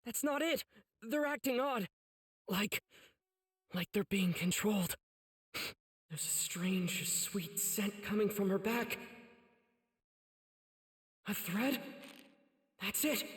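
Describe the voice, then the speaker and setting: An actor voicing an earnest, kind-hearted teenage boy swordsman in an anime game, youthful and urgent, with a slightly husky voice. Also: medium pitch, lively intonation, takes it slowly, pauses often, fairly bright tone, dry recording, clean studio sound, full character voice. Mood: focused, wary. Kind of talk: anime game cutscene acting.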